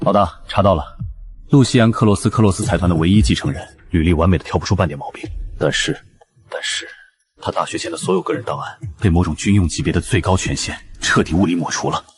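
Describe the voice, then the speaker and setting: A man playing an eager subordinate investigator reporting to his boss in an animated web drama, emphatic and urgent. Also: deep voice, fast talker, breathy edge.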